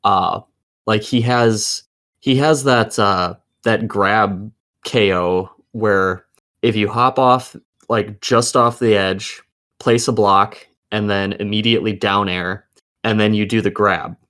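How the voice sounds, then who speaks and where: A man's voice: on the low side, steady tone, slow pace, fairly bright tone. A man co-hosting a casual gaming podcast, talking bluntly and cursing heavily.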